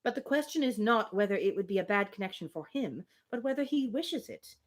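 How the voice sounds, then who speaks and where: A woman reading a gently persistent married woman from a Regency novel, formal, teasing and persuasive. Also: mid-range voice, expressive, brisk, natural voice. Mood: eagerly persuasive.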